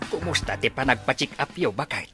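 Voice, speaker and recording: An actor playing an excitable old village father and eager grandfather-to-be, with an Ilocano Filipino accent in radio drama style. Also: mid-range voice, brisk, faint music behind. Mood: eager, surprised. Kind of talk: radio comedy-drama acting.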